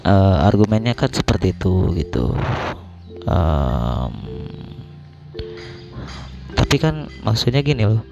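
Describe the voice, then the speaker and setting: A young man with a slightly hoarse voice, hosting a podcast alone in casual, colloquial, rambling talk as he thinks aloud. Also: lowish voice, very animated, slow pace, pauses often, dull audio, over music. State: slightly hoarse after illness. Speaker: podcast host.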